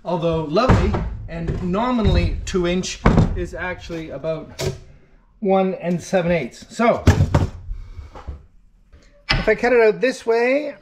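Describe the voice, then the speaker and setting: A man's voice: sits high, very noisy. A middle-aged man working as a shipwright, thinking aloud as he methodically explains a woodworking job in his shop. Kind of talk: workshop how-to narration. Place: wooden-walled boat shop, indoors.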